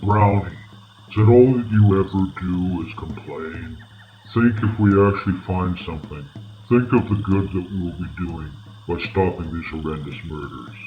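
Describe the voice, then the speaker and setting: A man voicing a big, strong talking bear, measured, stern and patiently exasperated, in an old-time radio drama. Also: sits low, narrow, tinny audio, slight background noise, lightly acted. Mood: exasperated, scolding.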